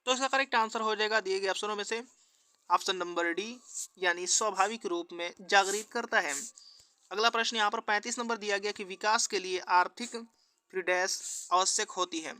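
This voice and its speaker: A man's voice: high-pitched, moderate pace, bright, forceful tone, smooth, no echo. A man working through exam practice questions as a teacher, with an instructional, formulaic question-and-answer delivery. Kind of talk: scripted quiz walkthrough lesson.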